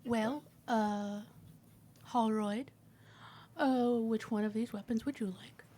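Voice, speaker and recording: A woman's voice: fairly high pitch, steady tone, slow pace, warm tone, slight background noise. A woman player in a tabletop roleplaying game, talking casually and in character with a sweary, theatrical way of putting things. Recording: background music.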